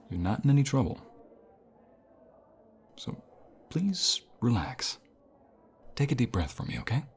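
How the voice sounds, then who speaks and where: A man playing a caring university professor in an ASMR roleplay, speaking in a calm, reassuring and gentle manner. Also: on the low side, vocal fry, slight background noise, full character voice. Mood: soothing reassurance. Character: caring male university professor comforting a burnt-out student. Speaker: voice actor.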